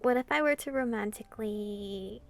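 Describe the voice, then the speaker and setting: Flirtatious demon king, read by a woman: light, conversational, highish with vocal fry, visual novel dialogue. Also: moderate pace. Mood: teasing. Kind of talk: reading translated visual novel dialogue aloud.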